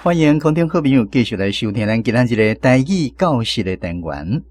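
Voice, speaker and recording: A man's voice: medium pitch, sing-song, a little fast, darkish tone, dry recording, clean studio sound. A man with a Taiwanese accent hosting a language lesson segment on the radio as quizmaster. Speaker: radio show host and quizmaster.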